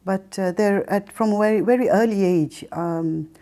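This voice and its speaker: A middle-aged woman from Kenya and England giving a reflective personal testimony in a hesitant, halting manner with pauses mid-phrase. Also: mid-range voice, dark tone. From Kenya, raised in Mombasa and then England. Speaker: interview guest telling her life story.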